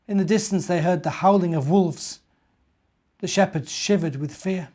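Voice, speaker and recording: A middle-aged man, a minister, talking and reading to young children in an expressive, simple storytelling way. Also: high voice, normal speed. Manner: expressive, simple child-directed delivery with rhetorical questions. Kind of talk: children's storytelling and gentle chat.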